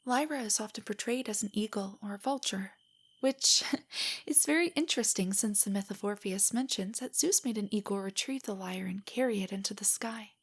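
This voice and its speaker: A woman playing a nervous, nerdy, affectionate young girlfriend in an intimate audio roleplay, speaking softly and breathily in a hesitant, earnest way. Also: mid-range voice, moderate pace. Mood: earnest, rambling. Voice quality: soft, breathy, whispery. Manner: hesitant, rambling, earnestly explanatory.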